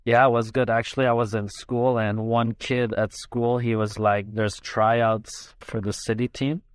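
A man's voice: medium pitch, flat delivery, a little slow, smooth, dry recording. A man from Iran who grew up in Canada, not a native English speaker, chatting casually as an understated interview guest. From Iran, raised mostly in Canada. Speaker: interview guest, soccer player. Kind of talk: casual chat, recounting his own story.

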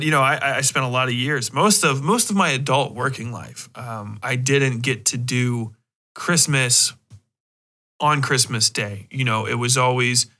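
A man hosting a podcast, chatting casually and rambling in a halting, conversational way. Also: medium pitch, sharp tone. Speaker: podcast host. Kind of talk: casual rambling solo chat.

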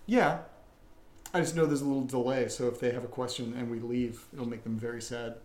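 A man's voice: medium pitch, steady tone, fairly quick, darkish tone. Casual male podcast host, echoey room. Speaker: podcast host, audio drama creator. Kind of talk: casual chat, live Q&A. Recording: roomy echo.

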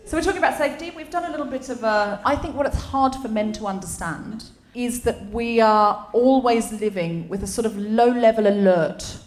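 A middle-aged English woman with a slight Australian tinge hosting a live comedy podcast on stage, animated and laughing as she tells stories. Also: a little high, a little fast, few pauses. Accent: English with a trace of Australian. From England. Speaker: podcast host. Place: comedy venue stage before a live audience.

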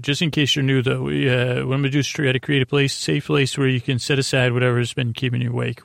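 A man hosting a bedtime podcast in creaky, lulling tones, with a gentle, halting, meandering way of talking as he improvises. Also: medium pitch, no echo, clean studio sound. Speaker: podcast host.

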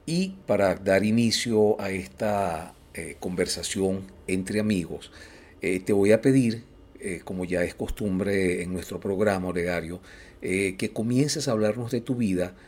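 A man hosting an interview, speaking in a measured way with frequent hesitations. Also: deep voice, darkish tone, husky. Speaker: podcast host. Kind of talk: hosted interview.

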